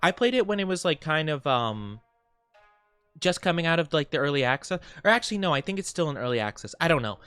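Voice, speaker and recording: A young man streaming from home, chatting casually with viewers in a soft, rambling way full of fillers, sounding sniffly and stuffed up. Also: on the high side, big pitch swings, fast, clean tone, no echo, faint music behind.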